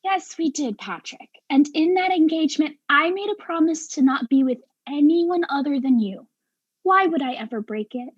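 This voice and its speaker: High-pitched, exasperated woman in comic mystery acted. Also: expressive, moderate pace, no room sound, dull audio. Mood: exasperated, confessing. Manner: argumentative, precise, sarcastic. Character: exasperated, sharp-tongued professional woman accused of murder. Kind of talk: stage-play dialogue in a darkly comic mystery.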